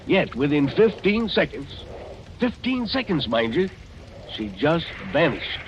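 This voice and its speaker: A man acting a plainspoken, ominous old-timer, telling things in a folksy, deliberate way in an old radio drama. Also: a little high, big pitch swings. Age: older. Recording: muffled, hissy vintage radio broadcast.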